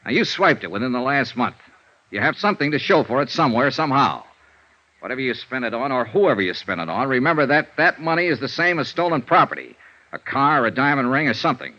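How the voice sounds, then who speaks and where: A man's voice: medium pitch, very animated, sharp tone. An American man acting a tough, blunt, persistent insurance investigator in a vintage radio detective drama. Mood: stern, pressing. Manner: brisk, blunt, hard-boiled, pressing. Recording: dull lo-fi vintage radio recording.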